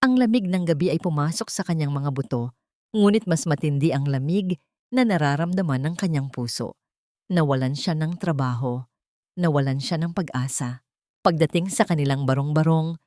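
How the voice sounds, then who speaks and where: A woman's voice: deep voice, no echo, studio quality. A woman reading scripted, dramatic narration as the storyteller of an audio drama.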